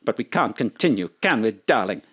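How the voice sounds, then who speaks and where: A man's voice: fairly low pitch, very animated, brisk, a bit bright, slightly rough, heavily acted. A man acting in an audio drama as an earnest, needy lover in a doomed affair. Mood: earnest, yearning. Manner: earnest, questioning. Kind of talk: romantic melodrama audio acting.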